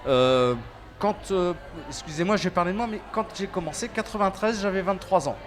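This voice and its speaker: A man in his fifties from France talks on a web TV panel as an association organizer. He speaks in a high, lively voice and keeps starting over between fillers. His talk is casual and animated. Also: a little fast. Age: 50s. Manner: animated, hesitant with many fillers.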